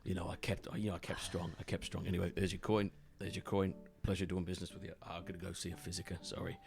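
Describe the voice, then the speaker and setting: A man's voice: low-pitched, flat delivery, quick pace, creaky, faint music behind. A man voicing a bruised, nervous underworld contact who stammers and repeats himself apologetically. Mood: hurried, sheepish.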